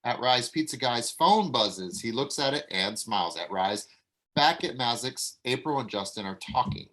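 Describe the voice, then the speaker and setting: A man's voice: medium pitch, expressive, moderate pace, a bit bright, poor audio. A man narrating stage directions at a live script table read.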